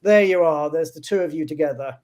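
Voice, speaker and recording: A man's voice: on the high side, fairly quick, darkish tone. Man hosting a live panel, stammering restarts. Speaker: host.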